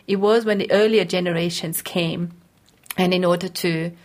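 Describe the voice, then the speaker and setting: A woman interviewed as a researcher, speaking in a slightly low voice. She explains things thoughtfully, often circling back over a phrase as she builds a point. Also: fairly even intonation, moderate pace.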